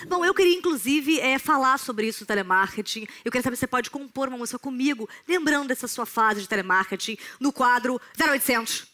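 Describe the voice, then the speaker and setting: High-voiced, irreverent Brazilian woman hosting comedy talk. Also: expressive, fast, few pauses, sharp tone. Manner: irreverent, joking, swearing casually. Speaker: talk show host interviewing a guest. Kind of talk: comedic talk show interview.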